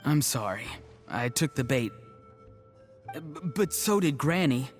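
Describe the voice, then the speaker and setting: A man's voice: mid-range voice, lively intonation, a little slow, soft background music, natural voice. A man playing an earnest, good-natured young tribesman in a game cutscene, mild and wistful in manner. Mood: apologetic. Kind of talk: animated video game cutscene acting.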